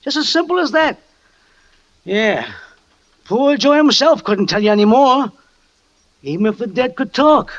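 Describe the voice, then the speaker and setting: A jumpy, evasive circus manager. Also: male voice, very high-pitched, expressive, unhurried, long pauses, a little hiss or hum. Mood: defensive. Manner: defensive, stammering. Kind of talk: 1940s radio acting. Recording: dull old radio recording.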